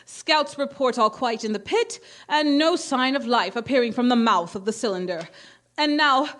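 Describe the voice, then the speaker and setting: An actress playing a grave, formal news announcer in a radio drama, reading bulletins in a measured way. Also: female voice, a little high, expressive, a bit bright. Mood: urgent, formal. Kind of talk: stage radio drama acting as newscaster.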